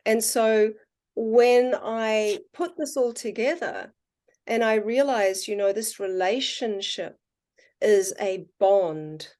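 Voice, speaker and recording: A woman with a New Zealand accent, a podcast guest and water researcher, talking in an earnest, musing way and slowing down on her key points. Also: medium pitch, darkish tone. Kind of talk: casual conversational interview.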